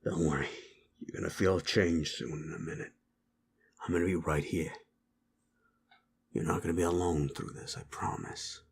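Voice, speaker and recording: A man acting a gruff, cocky but protective werewolf in an intimate audio roleplay, with a gravelly, breathy murmur and blunt, coarse, halting speech. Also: low-pitched, steady tone, a little hiss or hum. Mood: soothing, protective.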